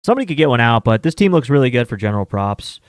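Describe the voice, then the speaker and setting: Casual male betting host, about 30, loose rambling delivery, average pitch, dry close sound. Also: big pitch swings, rapid-fire, no echo. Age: 30s. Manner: rambling, filler-heavy, with mild swearing. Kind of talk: casual chat.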